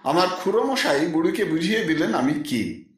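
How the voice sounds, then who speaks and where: A man narrating a literary story in the first person, with an expressive storyteller's delivery. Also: on the high side, moderate pace. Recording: very echoey, slightly distant microphone.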